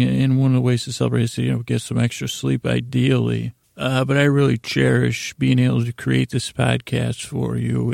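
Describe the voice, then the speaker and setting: A man with a dark, mellow and deeply creaky voice hosts a bedtime podcast. He meanders and doubles back on his sentences with many false starts. The sound is dry and close. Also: no echo. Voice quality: gravelly, creaky. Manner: meandering, halting, self-correcting with repeated false starts. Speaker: podcast host. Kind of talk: rambling bedtime podcast intro.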